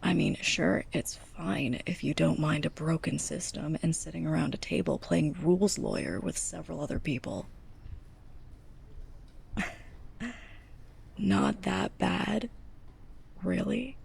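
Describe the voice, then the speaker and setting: Woman acting a creaky, breathy, sarcastic young librarian's assistant. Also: deep voice, slow pace, long pauses, a little mellow, full character voice. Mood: annoyed, sarcastic. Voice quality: breathy, creaky, often near whisper. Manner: sarcastic, exasperated, pointed delivery. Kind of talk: ASMR roleplay, close-up scripted monologue.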